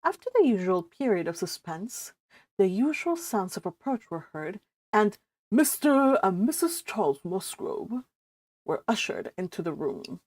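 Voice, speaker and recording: A Californian woman hosting a read-along, reading a novel aloud and chatting about it in an informal, animated manner. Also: mid-range voice, dry recording. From California, United States. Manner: animated, informal, exclaiming asides. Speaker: host of a live read-along.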